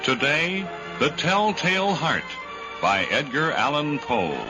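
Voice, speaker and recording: A man's voice: a little high, big pitch swings, slow. A man announcing in formal, dramatic 1950s radio style. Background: loud music.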